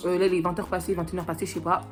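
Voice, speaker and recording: A woman's voice: lowish voice, little pitch movement, racing. A young woman from France talks into her phone camera in loose, rambling vlog chatter, filled with giggles and little fillers. She sounds a bit stuffed up from a cold. Light music runs underneath. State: has a cold. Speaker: vlogger. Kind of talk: casual vlog talk.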